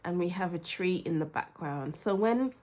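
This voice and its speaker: A woman's voice: on the low side, expressive, moderate pace, darkish tone. A young woman with a cold, chatting casually and laughing often as she gives a tarot reading. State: has a cold, sniffly. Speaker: tarot reader.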